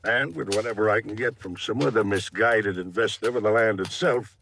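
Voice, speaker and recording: A man's voice: lowish voice, moderate pace, a bit bright. An actor playing a smug, brazen American businessman in 1950s radio drama, self-satisfied and unbothered. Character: smug, brazen male businessman with a burned warehouse. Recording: vintage radio recording, faint noise.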